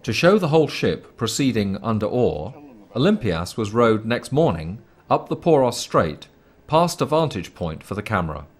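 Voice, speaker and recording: A man reading a scripted documentary commentary in a formal, measured way. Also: on the low side, sing-song. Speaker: narrator.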